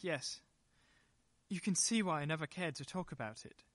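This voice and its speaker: A man's voice: on the high side, moderate pace, no room sound, lightly acted. Man playing an evasive, easily rattled husband. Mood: subdued, pained. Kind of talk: radio play acting.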